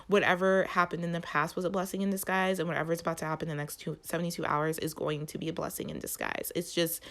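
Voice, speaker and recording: Nasal woman giving a halting tarot reading. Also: on the low side, flat delivery, fairly bright tone. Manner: halting, searching for words, many "like" fillers. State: nasal from allergies. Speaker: tarot reader and channel host. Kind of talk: spoken tarot reading to viewers.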